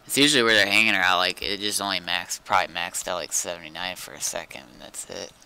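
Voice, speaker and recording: A man's voice: sits low, steady tone, fast talker, vocal fry, bright, forceful tone, a little hiss or hum. A man streaming a game, chatting casually in a laconic, hesitant way. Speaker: gamer streaming his play. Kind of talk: casual gaming chat.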